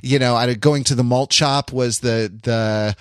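A man hosting a podcast in a casual conversational style, thinking aloud in a halting, rambling and reflective way. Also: mid-range voice, fairly even intonation, clear, resonant tone, dry recording, clean studio sound. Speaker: podcast host.

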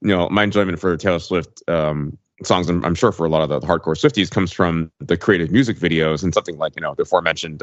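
Low-voiced man in discursive podcast chat. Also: dry recording, muffled recording. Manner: discursive, peppered with "like" and "you know". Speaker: podcast co-host. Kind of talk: casual chat.

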